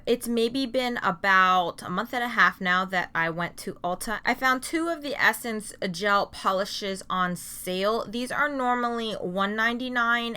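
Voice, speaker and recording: A woman's voice: mid-range voice, sharp tone, smooth. A young adult woman chatting casually as she reviews nail polishes for her channel. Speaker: beauty vlogger.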